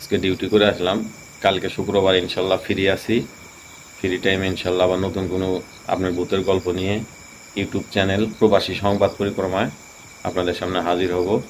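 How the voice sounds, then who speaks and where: Deep-voiced man telling a ghost story. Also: level tone, fairly quick, a little hiss or hum, poor audio. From Tangail, Bangladesh. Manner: rambling, many fillers and restarts. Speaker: channel host. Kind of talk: casual storytelling.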